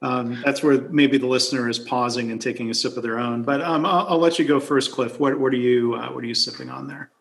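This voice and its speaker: A man's voice: medium pitch, steady tone, a little fast, gravelly, few pauses, fairly bright tone. A man from near Detroit hosting a podcast, asking friendly, curious questions with frequent fillers. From near Detroit, USA. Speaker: podcast host. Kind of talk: casual conversation.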